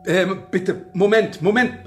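Slow, grumbling older Herr Burczik. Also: male voice, sits high, soft background music. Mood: agitated. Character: grumbling, laconic older man living alone, Herr Burczik. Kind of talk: German children's radio play acting.

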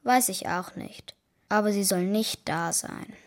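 A woman's voice: mid-range voice, a little slow, close to an everyday voice. A female performer playing an earnest, insistent young girl in a German children's radio play. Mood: stubborn, hurt.

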